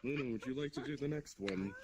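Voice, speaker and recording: A man's voice: medium pitch, fast. A man improvising in an online roleplay, narrating his own actions over voice chat. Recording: muffled narrow-band voice chat, music behind.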